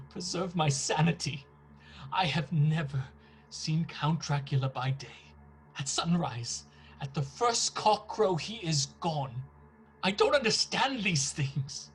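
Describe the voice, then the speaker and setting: An actor playing a fearful, desperate young English gentleman in a radio play, with a dramatic delivery. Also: high-pitched, exaggerated voice, poor audio. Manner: dramatic, fearful diary narration. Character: anxious, desperate young English solicitor imprisoned in castle.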